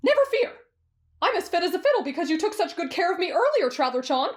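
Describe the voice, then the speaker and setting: A woman voicing an excitable, lovestruck and insecure teenage swordsman boy in an anime roleplay, with an eager, stammering manner. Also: extremely high pitch, fast, pitched above the performer's own voice. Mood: excited. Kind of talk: anime roleplay ASMR acting.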